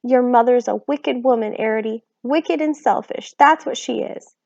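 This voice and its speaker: A woman's voice: on the high side, expressive, a little slow, a little mellow, dry recording. A woman voicing a fretful, tearful little borrower mother with an anxious, quavering way of talking. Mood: distraught. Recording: slightly dull lo-fi recording.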